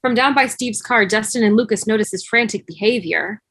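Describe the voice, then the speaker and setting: A woman's voice: a little high, a little fast, fairly bright tone, no echo. A woman reading stage directions as scripted narration in an online table read over a video call.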